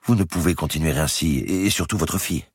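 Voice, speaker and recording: A man's voice: very deep voice, fairly quick, husky, dry recording, studio quality, voice pushed up, exaggerated voice. A man voicing an eager, impetuous young Italian banker in a storybook reading. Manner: impetuous, exclaiming.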